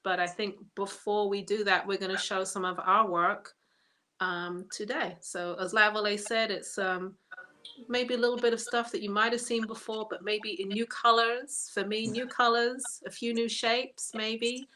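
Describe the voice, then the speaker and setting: A woman's voice: mid-range voice, fairly even intonation, moderate pace, sharp tone. A middle-aged woman from England whose accent is a mix of English and American, co-hosting a casual live stream and readily agreeing along. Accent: mixed English and American. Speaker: co-host and hat maker.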